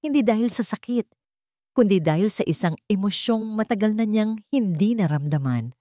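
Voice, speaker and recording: A woman narrating a scripted sentimental drama in measured, short phrases. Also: medium pitch, lively intonation, dark tone, dry recording, studio quality.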